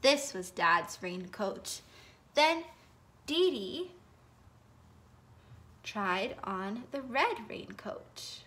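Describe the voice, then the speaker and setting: A woman's voice: on the high side, drawn-out words, pauses often. Sing-song woman telling a children's story. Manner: sing-song, expressive, gasps and whispers for effect. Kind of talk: felt board story reading. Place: home living room, indoors. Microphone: phone camera held over the felt board.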